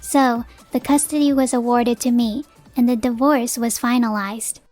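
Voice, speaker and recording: A woman's voice: a little high, steady tone, moderate pace, darkish tone, soft background music, lightly acted. A woman voicing a resolute young wronged wife and mother, narrating a manga drama in an earnest, dramatic way. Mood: matter-of-fact. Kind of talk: scripted first-person narration, manga dub.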